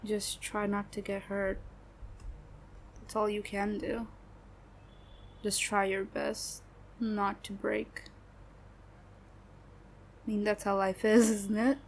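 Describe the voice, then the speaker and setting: A sad young woman who hides her hurt behind a halting, unhurried way of talking, trailing off as she goes, played by a woman in an audio roleplay. Her speech is slow and hesitant, with nervous little laughs. A faint background noise sits under her voice. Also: medium pitch, level tone. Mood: sad, resigned. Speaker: voice actor.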